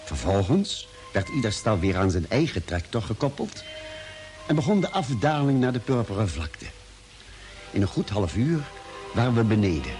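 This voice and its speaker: An actor playing a thoughtful space expedition doctor in a radio drama, telling the story in a measured, dramatic way. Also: male voice, medium pitch, darkish tone, close to an everyday voice. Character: thoughtful male doctor narrating a Mars expedition. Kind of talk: radio drama narration and dialogue. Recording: old radio drama, music behind.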